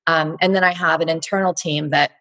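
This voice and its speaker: A woman in her thirties from Texas speaks low and a touch husky. She tells her story reflectively and at ease, with easy fillers and pauses as she thinks. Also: fairly even intonation, a bit bright. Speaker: guest, blogger and entrepreneur. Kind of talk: conversational interview.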